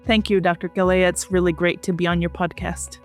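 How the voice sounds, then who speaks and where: A woman speaking as a guest researcher in a podcast interview, measured, earnest and articulate. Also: lowish voice, level tone, soft background music.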